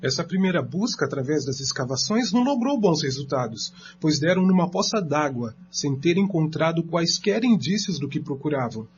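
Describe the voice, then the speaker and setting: A man's voice: fairly high pitch, expressive, normal speed, hardly any pauses, a little hiss or hum. A man with a Brazilian accent reading scripted narration for a radio drama. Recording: dull lo-fi, faint music underneath.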